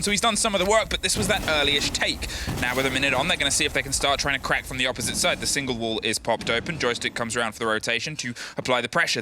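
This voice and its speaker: A man casting an esports match play-by-play, quick to get excited at the big plays. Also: on the high side, slight background noise. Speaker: esports caster. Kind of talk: play-by-play commentary.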